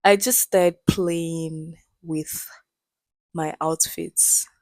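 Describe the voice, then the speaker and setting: Brief: young woman, slightly low voice, dry close mic, animated candid storyteller. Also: very slow, no room sound. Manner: animated storyteller, swears freely. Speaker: podcast guest. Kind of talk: casual chat.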